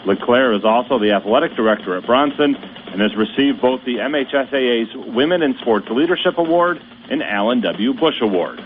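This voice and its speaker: A man hosting a high school sports radio show, reading scripted news in a steady, measured announcer voice. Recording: tinny radio broadcast with faint hiss.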